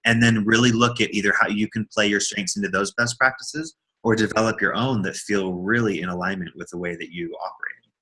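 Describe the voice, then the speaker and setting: A man's voice: fairly low pitch, fairly even intonation, brisk, sharp tone, poor audio. A man co-presenting a business training, talking casually and repeating words as he thinks aloud. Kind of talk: casual workshop talk.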